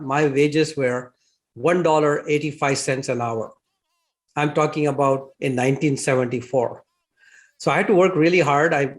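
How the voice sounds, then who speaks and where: Guest, 60s, from India, talkative storyteller, slightly higher voice. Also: male voice. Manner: warm, chatty, with frequent fillers and laughs. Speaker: interview guest. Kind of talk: storytelling interview answers.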